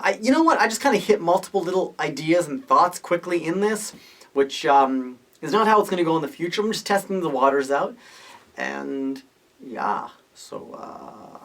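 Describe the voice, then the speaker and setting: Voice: medium pitch, creaky, fairly bright tone. Rambling podcast host thinking aloud. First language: Hungarian or English, multilingual. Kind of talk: casual unscripted opinion monologue.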